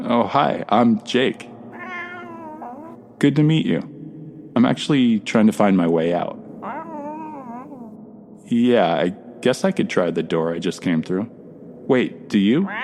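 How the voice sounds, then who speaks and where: A man's voice: fairly low pitch, gravelly, darkish tone, slight background noise, exaggerated voice. A man playing a polite, awed traveler, speaking conversationally and a little hesitantly in an audio drama. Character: polite, awed male traveler between mysterious doors.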